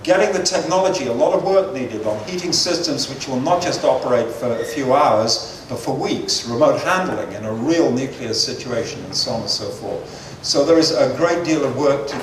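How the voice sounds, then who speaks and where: An older man, a guest speaker, gives measured, earnest answers to audience questions, with frequent fillers. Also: medium pitch. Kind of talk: lecture-hall Q&A answers. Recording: echoey hall, distant mic, faint noise.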